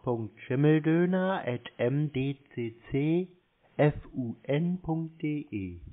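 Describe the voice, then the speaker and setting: A man chatting casually on the telephone as a customer, laconic and deadpan. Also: a little high, slow pace. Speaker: prank caller posing as customer. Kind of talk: casual phone chat. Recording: muffled narrowband phone line.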